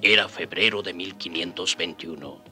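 A man's voice: sits low, fairly even intonation, bright, forceful tone. A man playing a grieving, haunted survivor who narrates sorrowfully and despairs bitterly in a radio drama. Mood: wistful, reminiscing. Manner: slow, sorrowful storytelling breaking into anguished outcry. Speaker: narrator and character actor. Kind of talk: radio drama first-person narration and acting. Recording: radio drama with background music.

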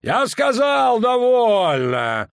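Guy doing a bossy imperial commander barking orders way up high, super dry sound. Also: measured pace, no echo. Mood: commanding shout. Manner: shouting commands, hammering out each phrase. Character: bellowing imperial male commander, stern and overbearing.

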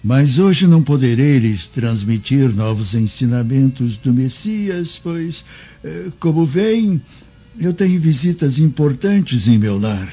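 An actor playing a kindly, humble old devout preacher in a radio drama, solemn and gentle in manner. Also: male voice, medium pitch, lively intonation, slow, slightly rough, full character voice. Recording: dull lo-fi radio drama, music behind.

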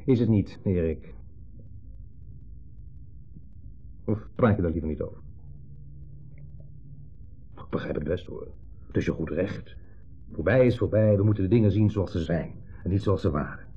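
Husky, smug ex-husband. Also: male voice, sits low, moderate pace, pauses often. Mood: insinuating, bitter. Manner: theatrical, insinuating, falsely jovial. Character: smug, needling ex-husband paying a visit. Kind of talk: 1970s Dutch radio drama acting. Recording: muffled old 1970s radio recording.

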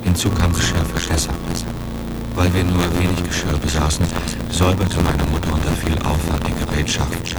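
A man calmly reading first-person memories in a measured, scripted way. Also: deep voice, low-quality recording. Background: loud music.

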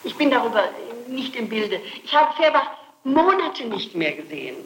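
High-voiced woman on echoey archival interrogation tape. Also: big pitch swings, moderate pace, fairly bright tone, slight background noise. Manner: insistent, repeats herself in emphatic denial. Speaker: suspect being questioned. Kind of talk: spontaneous answers under interrogation.

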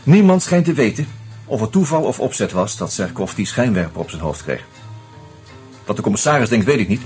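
Confiding screenwriter, music behind. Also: male voice, fairly low pitch, very animated, a little fast, darkish tone. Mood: earnest, confiding. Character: sociable, teasing screenwriter sharing his suspicions over drinks. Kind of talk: Dutch radio drama acting.

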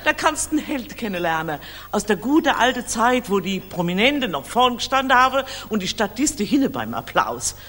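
An actress playing a vivacious middle-aged operetta singer and doting aunt, chatty and familiar, in 1960s radio drama. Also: female voice, a little high, big pitch swings, moderate pace, fairly bright tone. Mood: exuberant teasing. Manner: gushing, familiar, colloquial. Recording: echoing mono radio studio, hiss.

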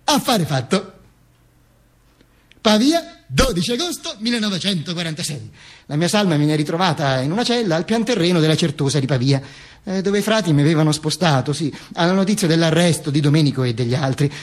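An actor playing a dictator's corpse in a theatrical radio monologue, telling its own story wryly, with halting chatty asides and pointed repetition. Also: male voice, fairly high pitch, expressive, close to an everyday voice. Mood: chatty, ironic. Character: dead dictator's corpse recounting its own posthumous travels, wry.

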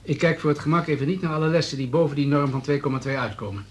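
An actor playing a hard-nosed town politician in a radio drama, businesslike, smooth and needling. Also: male voice, on the high side, rapid-fire, close to an everyday voice. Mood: matter-of-fact. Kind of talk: Dutch radio drama dialogue.

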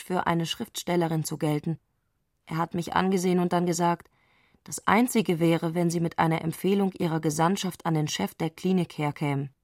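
An actress playing an eccentric, excitable woman speaking an intimate letter in intense, abrupt short sentences, in a literary radio play. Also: lowish voice, no room sound, studio quality.

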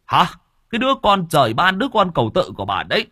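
A bitter old father rants and curses in a sharp, husky voice, as a male narrator plays him. His pitch swings wildly between growls and shouts. The recording is dry and close. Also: very animated, fast, no echo, full character voice. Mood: furious. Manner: cursing, harsh ranting.

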